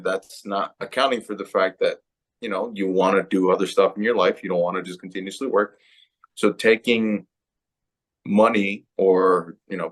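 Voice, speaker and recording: A man co-hosting a casual podcast, talking in a loose, rambling way and often ending points with "right?". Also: low voice. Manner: conversational, rambling, tag questions. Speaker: podcast co-host.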